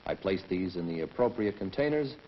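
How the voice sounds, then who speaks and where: A man's voice: deep voice, lively intonation, fairly quick. An actor playing a matter-of-fact male police detective, giving plain, methodical scripted testimony in a 1960s training film. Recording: muffled 1960s film soundtrack, hiss.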